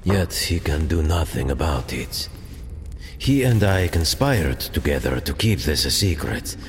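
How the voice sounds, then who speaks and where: Grave ancient king, commanding, deep voice, expressive swings, audio drama. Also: slight background noise, full character voice. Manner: imperious, measured, grave. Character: commanding, defiant ancient master, a legendary king. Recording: long echoing hall with music.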